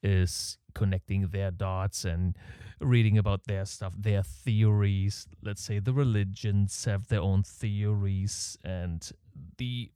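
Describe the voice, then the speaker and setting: German guy rambling on his own podcast, low mellow voice, dry close mic, you can hear him breathe. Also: steady tone, slow pace, clean tone, no echo. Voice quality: audible breathing. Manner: rambling, opinionated, self-deprecating. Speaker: podcast host. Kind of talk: casual solo podcast monologue. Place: home setup in Canada. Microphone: close podcast microphone.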